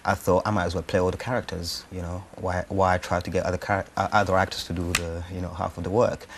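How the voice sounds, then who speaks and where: Low-voiced man, hesitant. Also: flat delivery, fast, noisy background. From Rwanda. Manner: hesitant, thinking aloud. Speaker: actor-writer being interviewed. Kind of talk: conversational interview answers.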